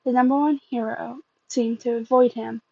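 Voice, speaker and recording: A woman reading fan fiction aloud as an amateur, in a halting way, often stumbling and repeating phrases. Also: on the high side, steady tone, gravelly, warm tone, no echo, lo-fi sound.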